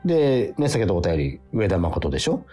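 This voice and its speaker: A man hosting a radio show, chatting casually and reading listener letters aloud in a halting, repetitive way. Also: deep voice, sing-song, dark tone. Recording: music playing behind. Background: background music.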